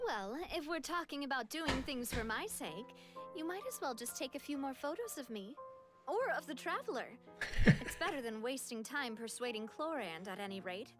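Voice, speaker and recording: A woman playing a confident, warm-hearted young lady leader in a video game, friendly and poised. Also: high voice, animated, normal speed, over music. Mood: playful.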